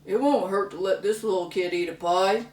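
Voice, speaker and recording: A woman voicing a kindly male construction worker in an easygoing, slightly lower voice. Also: normal speed, a little mellow, close to an everyday voice. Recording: roomy, slightly distant mic.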